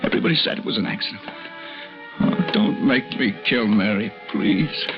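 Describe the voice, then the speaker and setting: An actor playing a tormented, brooding husband in an intense, repetitive 1940s radio melodrama style. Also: male voice, fairly low pitch, expressive, moderate pace. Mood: anguished pleading. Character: tormented husband driven by a murderous compulsion. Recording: dull old radio recording, music behind.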